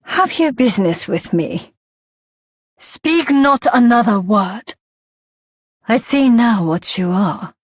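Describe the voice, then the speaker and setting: A haughty, unwelcoming lady from a video game. She speaks slowly and curtly with imperious scorn, in a dry, close recording. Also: fairly high pitch, animated, breathy edge, no room sound, heavily acted. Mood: scornful. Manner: imperious, curt. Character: haughty, hostile woman rejecting foreign visitors. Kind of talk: dramatic video game voice acting.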